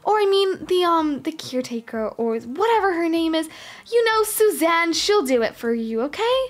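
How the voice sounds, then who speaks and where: A woman's voice: very high-pitched, very animated, moderate pace. A woman playing a spoiled rich schoolgirl and young mother in a game roleplay, sighing and complaining to herself. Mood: coaxing, exasperated.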